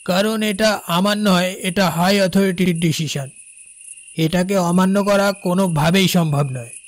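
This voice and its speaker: A man's voice: high voice, natural voice. A man with a West Bengal accent playing a firm, unyielding railway boss in a cartoon ghost story. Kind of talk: dramatized cartoon dialogue.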